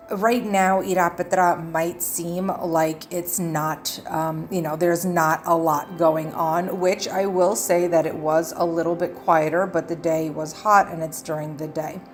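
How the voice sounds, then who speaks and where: Female travel vlogger, slightly low voice, chatty and earnest, faint music underneath. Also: a little mellow. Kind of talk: casual travel guide narration. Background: background music.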